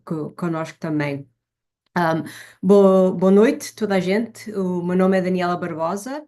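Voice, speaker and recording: A woman from Portugal with a European Portuguese accent, giving a fluent conference presentation over a video call as a foundation executive. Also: lowish voice. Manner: warm, fluent, switches between Portuguese and English. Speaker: keynote speaker, foundation executive.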